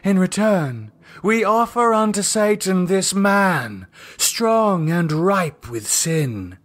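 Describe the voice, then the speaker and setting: A man's voice: high voice, sing-song, slow pace, pitched above the performer's own voice, exaggerated voice. A man voicing a commanding female vampire cult leader in an audiobook, declaiming in a ceremonial, exultant manner. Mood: solemn, exultant.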